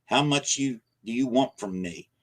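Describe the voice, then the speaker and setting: A man voicing an aging rural man who scolds in rough, folksy grammar, angry and frightened. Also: fairly low pitch, moderate pace, close to an everyday voice. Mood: urgent, scolding. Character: gruff aging backwoods man, scared and angry.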